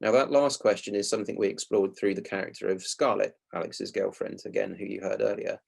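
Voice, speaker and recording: A man presenting a prepared public talk in a measured, articulate, explanatory way. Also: on the low side, steady tone, gravelly, husky. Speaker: playwright and actor giving a talk.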